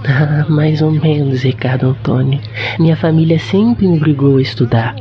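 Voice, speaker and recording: A man's voice: a little high, normal speed, close to an everyday voice. Guy doing a sweet brazilian girl in love, shy n coy, radio soap style, kinda muffled w faint music. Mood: reflective. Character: shy, sweet young female student in love. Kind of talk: amateur radio soap opera acting. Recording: dull lo-fi audio, faint music behind.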